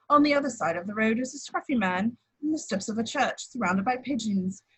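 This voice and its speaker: A woman narrates script directions at a casual table read. Her voice is fairly high with a husky edge, and the reading is plain. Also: rough recording. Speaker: action-description reader.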